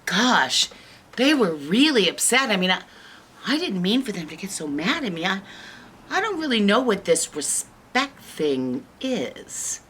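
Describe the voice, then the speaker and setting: A woman's voice: medium pitch, very animated, measured pace, fairly bright tone, breathy edge, full character voice. A woman voicing a naive little girl in a fairy tale, puzzled and exclaiming her questions. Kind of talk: animated children's storytelling with song.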